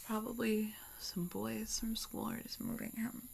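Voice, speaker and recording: A woman's voice: fairly high pitch, fairly even intonation, a little slow, creaky, a little mellow, husky, whispered, heavily acted, rough recording. A woman playing an anxious, stubborn farm schoolgirl in a horror radio drama, speaking hesitantly. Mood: frightened, self-reassuring.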